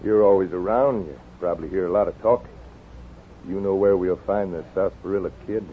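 Casting: man to play bossy outlaw villain, low gruff voice, menacing schemer, dull hissy old radio recording. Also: fairly quick, lightly acted. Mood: commanding. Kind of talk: 1950s radio western acting.

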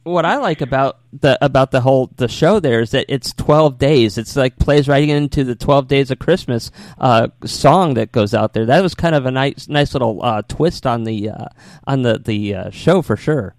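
A man hosts a casual radio interview. His voice is of average pitch and he rambles conversationally through his questions and remarks. The recording is dry and free of echo. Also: moderate pace.

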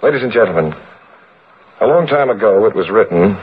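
Man, deep pitch, solemn declamatory delivery, formal, thin band-limited vintage radio recording. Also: lively intonation, fairly quick, slightly rough, lo-fi sound. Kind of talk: solemn old-time radio announcing.

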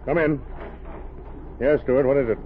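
An actor playing a steady, formal ship's captain in a 1930s radio drama. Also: male voice, medium pitch, normal speed, close to an everyday voice. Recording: tinny, noisy old radio recording.

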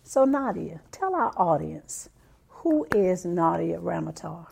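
A woman hosts an interview show, drawing out her guest with steady encouragement and affirmations. Her voice is husky and gravelly. It also sits on the low side. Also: slow pace. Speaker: show host.

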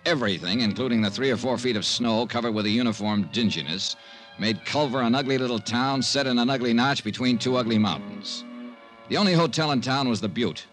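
A man's voice: mid-range voice, animated, fairly quick, a bit bright, natural voice, rough recording. An actor playing a terse, dogged insurance investigator narrating his case in a 1950s radio drama. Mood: dry, grim. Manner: clipped, laconic. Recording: 1950s radio recording with music.

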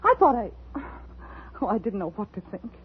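An actress playing an anxious, clinging middle-aged wife in 1950s radio drama, with an intense, dramatic delivery. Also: female voice, medium pitch, very animated, moderate pace, slightly rough. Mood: worried, fussing. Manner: dramatic, intense delivery, swinging from hushed narration to pleading. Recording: tinny, noisy 1950s radio recording.

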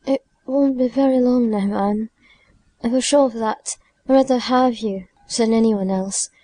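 Audiobook role: dying young woman, played by a female reader, high soft voice, plaintive, halting, fearful. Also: natural voice. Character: frightened, dying young woman pleading to live. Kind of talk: audiobook character dialogue.

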